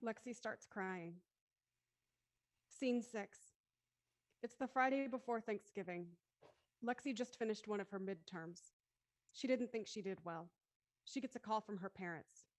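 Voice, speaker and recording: A woman's voice: medium pitch, measured pace, pauses often. A woman reading stage directions as scripted narration for a drama.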